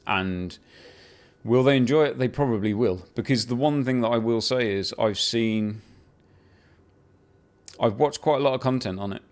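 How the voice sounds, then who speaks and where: A man chatting casually about a video game he has been playing, rambling with restarts and the odd swear, building into excited bursts. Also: medium pitch, moderate pace. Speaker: gaming video creator. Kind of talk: casual unscripted game talk to camera.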